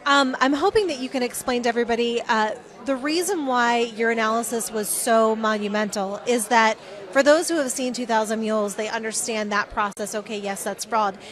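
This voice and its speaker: A woman's voice: a little high, brisk, fairly bright tone. A woman reporter doing a conversational on-location interview, speaking in an effusive, supportive way. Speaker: TV correspondent interviewing. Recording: crowd chatter around the voice. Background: crowd at an event.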